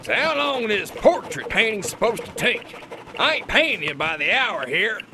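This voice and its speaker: An actor playing a pompous, folksy, bullying kingpin boss who boasts in a drawl, in a satirical radio drama. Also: male voice, sits high, very animated, normal speed, noisy background. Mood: impatient, gruff.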